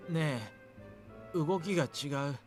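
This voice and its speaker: A man's voice: a little high, unhurried, close to an everyday voice. A man acting a grudging, impatient exercise partner in a game. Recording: music behind the voice.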